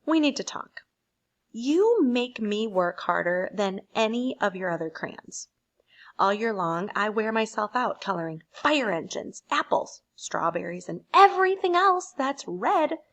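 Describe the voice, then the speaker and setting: A woman's voice: medium pitch, animated, measured pace, fairly bright tone. Woman voicing an exasperated, overworked storybook red crayon. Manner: emphatic, complaining.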